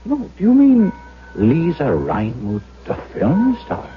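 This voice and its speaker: An actor playing a kindly, earnest older German doctor in a 1970s radio drama, speaking in a deliberate, fatherly, storytelling manner. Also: fairly high pitch, huge pitch swings, a little hiss or hum, full character voice. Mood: fond, teasing. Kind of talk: 1970s radio drama acting, first-person narration. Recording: muffled vintage radio broadcast, music underneath.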